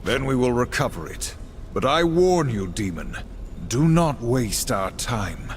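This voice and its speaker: A man's voice: medium pitch, sing-song, measured pace, slight background noise. An actor playing a stern, dutiful apocalyptic horseman in a video game, with a solemn, commanding, formal manner. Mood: threatening. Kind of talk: video game cutscene acting.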